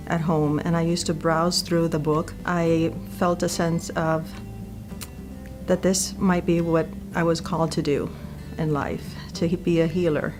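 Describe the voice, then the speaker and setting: Measured middle-aged woman doctor, lowish voice. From Puerto Rico. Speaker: infectious disease physician. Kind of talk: profile interview monologue. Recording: clear music bed under the voice.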